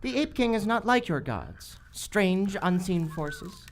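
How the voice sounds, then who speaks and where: A woman playing a solemn, menacing island leader in live radio theater, measured and cryptic. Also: medium pitch, big pitch swings. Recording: live stage recording, faint background noise.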